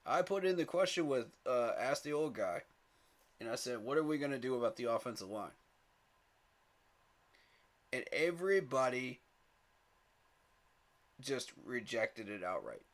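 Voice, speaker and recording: A man's voice: medium pitch, sing-song, unhurried, long pauses. A man hosting a live sports fan stream, talking straight to his chat in an emphatic, pleading way and chuckling at rival fans.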